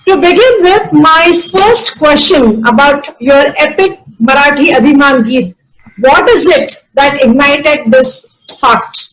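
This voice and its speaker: A woman's voice: sits high, slow pace, rough recording. An Indian woman with an Indian English accent, hosting an interview with a formal, polite introduction and questions. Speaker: interview host.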